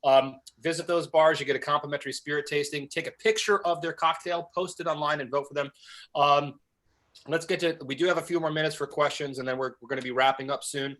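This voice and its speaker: A man hosting a live webinar on a video call, reading out viewer comments in an upbeat, energetic way. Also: on the high side, fast. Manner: upbeat, hyped, energetic shout-outs. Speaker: webinar host.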